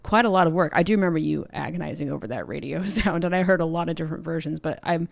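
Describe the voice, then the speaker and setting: A woman's voice: deep voice, fast, gravelly, dry recording, studio quality. A woman interviewing casually as a podcast host, informal and encouraging toward her guest.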